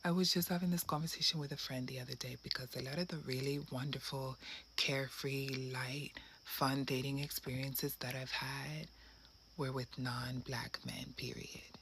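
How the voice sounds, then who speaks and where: A woman delivers dating advice in a firm, lecturing manner. Her voice has a gravelly fry to it. Steady background noise runs under the clip. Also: rumbling low, normal speed. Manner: lecturing, emphatic.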